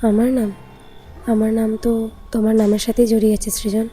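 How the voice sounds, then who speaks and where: Mysterious ghostly young woman, female actor: extremely soft dark timbre, even intonation, horror cartoon. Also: a little high, fast talker, slightly rough. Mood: soothing, mysterious. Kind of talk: animated horror story dialogue. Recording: music under the voice.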